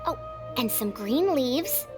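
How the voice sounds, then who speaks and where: A self-assured, old-fashioned scholar from an anime game. Her voice is high and animated, and she instructs with bossy confidence. Faint music plays behind. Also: slow pace, exaggerated voice. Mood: decisive. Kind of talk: anime video game voice acting.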